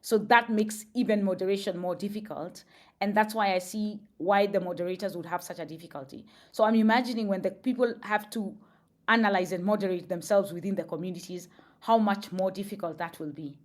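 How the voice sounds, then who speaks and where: A woman's voice: medium pitch, fairly even intonation, brisk. A woman, a guest on a podcast interview, explaining thoughtfully with examples and frequent fillers. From Kenya.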